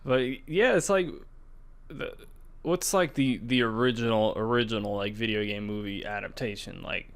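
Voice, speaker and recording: A man's voice: fairly low pitch, moderate pace, clear, resonant tone. Casual man chatting on a podcast. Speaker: podcast host. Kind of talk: casual chat about movies and games.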